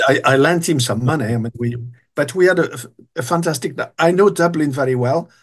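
A man's voice: fairly high pitch, fairly quick. An older man, a guest telling long stories in an interview, hesitant with many restarts. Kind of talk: storytelling interview.

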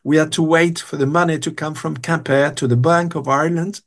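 A man's voice: on the high side, fairly even intonation, brisk. An older man, a guest telling long stories in an interview, hesitant with many restarts. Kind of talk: storytelling interview.

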